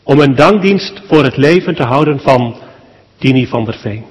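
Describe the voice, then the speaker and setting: A man, a pastor, leads a solemn public prayer at a church service in short, measured phrases with deliberate pauses. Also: mid-range voice, animated. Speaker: pastor leading a funeral service. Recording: long church hall reverb.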